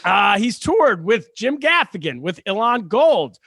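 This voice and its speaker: A man's voice: high-pitched, very animated, unhurried, gravelly, sharp tone, breathy edge, no echo, studio quality. A man hosting a comedy podcast, introducing a guest with teasing jokes in a casual, joking manner. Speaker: podcast host.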